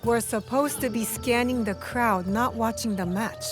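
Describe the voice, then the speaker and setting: A woman's voice: on the high side, measured pace, music in the background, lightly acted. A woman playing a curt, focused assassin. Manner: curt, no-nonsense. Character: blunt, focused female assassin.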